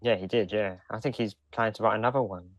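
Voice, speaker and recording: Man, low pitch, dry recording, casual podcast chat. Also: steady tone, quick pace, no room sound, muffled recording. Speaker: podcast co-host.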